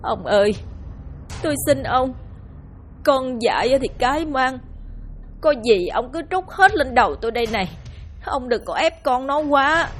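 A woman voicing an older mother who sobs and begs her husband to spare their son, with a breathy voice and faint music behind. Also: high-pitched, pitched above the performer's own voice. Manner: sobbing, pleading.

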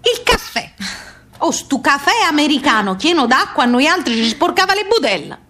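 High-voiced, emphatic southern Italian mother. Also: sing-song, moderate pace, pushed and bright, heavily acted. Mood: earthy, curious. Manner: emphatic, repetitive, folksy dialect-tinged phrasing. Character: proud, bossy southern Italian emigrant mother, earthy storyteller. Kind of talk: stage-like radio theatre monologue and dialogue.

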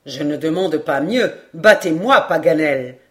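A woman voicing a sceptical, proud British lord with a forceful, indignant manner in an audiobook. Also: deep voice, lively intonation, a little slow. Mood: challenging. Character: incredulous, proud British lord challenging a scholar. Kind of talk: expressive audiobook character reading.

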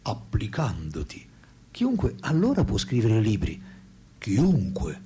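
A man's voice: on the low side, huge pitch swings, takes it slowly, mellow, full character voice. An actor in a radio play voicing a French philosopher and writer, ironic, reflective and self-deprecating, telling his thoughts theatrically. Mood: ironic, confiding. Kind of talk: radio play dialogue and monologue.

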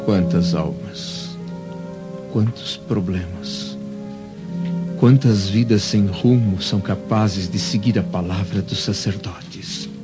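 A grave Roman senator with a lowish voice and a Brazilian accent. He declaims slowly and reflectively. Music plays clearly behind the slightly dull radio drama sound. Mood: troubled, pondering. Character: grave, pondering Roman senator gazing over Jerusalem.

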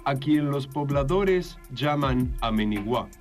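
A man puts on a solemn documentary narrator for a comedy sketch. He speaks gravely and with measure at a medium pitch, with music clearly playing behind him. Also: lively intonation. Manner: grave, measured, mock-serious.

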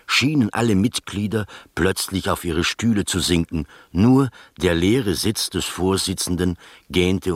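Gentleman narrator, low voice, measured, literary, bemused, radio drama, close dry studio. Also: dry recording. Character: gentleman narrator recounting his strange adventures, bemused, literary.

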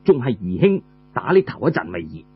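A man's voice: medium pitch, very animated, fairly quick, breathy edge, highs cut off, soft background music, pitched below the performer's own voice. A man voicing a proud, dutiful young general, a firm elder brother with formal, heroic speech. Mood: indignant, resolute.

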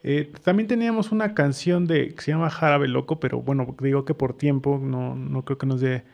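Creaky-voiced Mexican man hosting radio. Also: mid-range voice, moderate pace, muffled recording. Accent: central Mexican. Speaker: radio host. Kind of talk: live radio interview and announcements.

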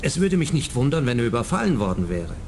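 A smug, well-off middle-aged man in a radio crime comedy. He speaks with an animated melody and a self-justifying, defensive manner. There is faint rumbling noise behind him. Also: fast.

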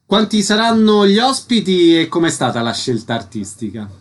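A man's voice: on the high side, big pitch swings, normal speed. A man hosting a radio interview, putting short scripted questions to a guest.

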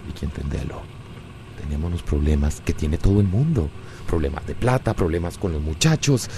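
A man's voice: extremely low pitch, lively intonation, moderate pace, background noise. A man with a Costa Rican accent playing a domineering, hot-tempered, jealous husband in a radio drama. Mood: gruff, controlling.

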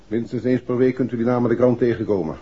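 A man acts a polite police inspector with a wry streak in a vintage radio drama. The voice is very husky at a medium pitch. The recording is dull and old. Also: fast talker, natural voice. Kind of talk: 1960s Dutch radio play acting.

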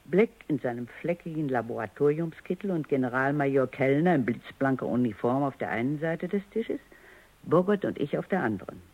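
A woman's voice: very deep voice, expressive, fairly quick, breathy edge, exaggerated voice. An authoritative woman scientist of a radio play. She speaks in formal, precise phrases with a widely moving intonation. The recording is slightly muffled. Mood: measured narration. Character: authoritative, exacting female robot expert, narrating and questioning. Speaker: narrator and investigator. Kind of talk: German radio drama acting.

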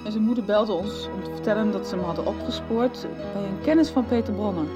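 A woman's voice: mid-range voice, fast, loud music behind the voice, close to an everyday voice. An actress playing a hesitant, concerned female friend in a conversational radio soap. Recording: dull 1990s radio recording.

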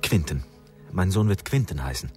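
An actor playing a brooding expectant father in a German radio drama, husky and weighing his words. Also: deep voice, animated, normal speed, darkish tone, soft background music. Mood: solemn, moved. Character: brooding expectant father facing a grave decision.

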